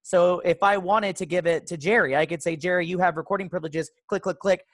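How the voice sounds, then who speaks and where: A woman's voice: on the low side, a little fast, dry recording. Encouraging woman, dry close sound. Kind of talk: encouraging casual advice. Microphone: video call.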